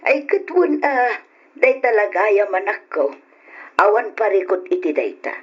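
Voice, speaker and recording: A woman's voice: medium pitch, big pitch swings, unhurried, husky. A woman playing an elderly, caring grandmother in a radio drama, speaking in a tender, reassuring way. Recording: dull lo-fi radio recording.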